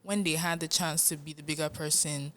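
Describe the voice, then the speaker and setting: A young woman with a Nigerian accent talks through her life and music in a relaxed interview. Her voice sits low for a woman, yet she speaks with lively, earnest energy and breaks into easy laughter. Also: a little fast. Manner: animated, laughs often, earnest. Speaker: musician guest. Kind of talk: casual interview talk.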